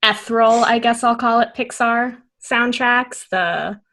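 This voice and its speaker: A woman's voice: on the high side, measured pace, pushed and bright. A young adult woman co-hosting a podcast, chatting casually with friends, laughing often and using many fillers. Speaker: podcast co-host. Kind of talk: casual chat.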